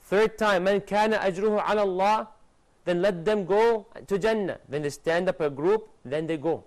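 A young man presenting a religious TV lesson to studio guests, animated and gesturing, reciting Arabic verses quickly, on a lapel mic in a studio. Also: high-pitched.

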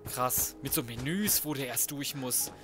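A man's voice: mid-range voice, a little slow, over music. A man giving casual let's play commentary, thinking aloud in slang with some swearing. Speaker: gaming video host.

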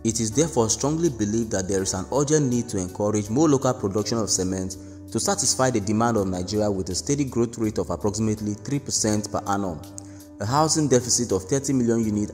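A man narrating a scripted news report in a steady, even read-aloud delivery. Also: lowish voice, fast. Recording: long reverb with faint background music.

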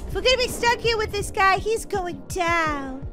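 A woman with an excitable, exaggerated kid-show delivery, doing playful role-play commentary as a gaming host. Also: extremely high pitch, moderate pace. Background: music behind the voice.

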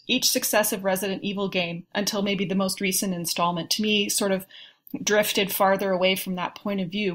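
A woman speaking as a podcast panelist, giving articulate, opinionated and measured critique in a casual discussion. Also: mid-range voice, fairly bright tone.

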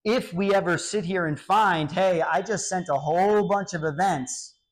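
A man in his thirties gives an animated meetup talk in a small cafe, his voice sitting a bit high. He often acts out little example exchanges as he explains. The sound comes from a camera set up some distance away. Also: moderate pace. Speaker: meetup speaker. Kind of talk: technical talk with Q&A.

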